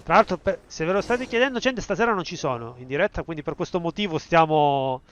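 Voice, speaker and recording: A man's voice: a little high, quick pace, a bit bright, muffled recording, a little hiss or hum. An Italian man giving casual let's-play commentary as he streams a video game.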